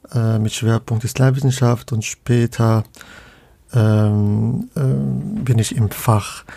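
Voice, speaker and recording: A man's voice: mid-range voice, creaky, warm tone, breathy edge, no echo. A man from Morocco, a guest scholar of Islamic theology in a podcast interview, speaking hesitantly with many fillers and restarts.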